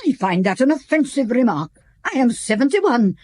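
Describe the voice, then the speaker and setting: An actress playing a prim, pious, defensive housekeeper of seventy-one in a radio drama, giving curt, indignant replies. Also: female voice, a little high, big pitch swings, moderate pace, darkish tone, no echo. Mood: offended, guarded. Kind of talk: British radio drama acting.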